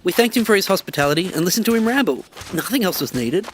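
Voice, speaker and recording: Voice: mid-range voice, very animated, quick pace, slight background noise, natural voice. An actor playing a guarded, sarcastic young survivor in a dystopian America, talking casually in a naturalistic audio drama. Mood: dry, brisk.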